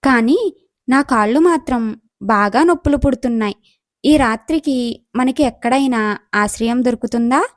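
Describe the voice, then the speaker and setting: A woman acts out a young, impatient goddess from a storybook tale. She questions and complains in a very high voice, recorded close and dry. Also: normal speed, long pauses, dry recording, natural voice. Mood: curious, weary. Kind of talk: acted moral story dialogue.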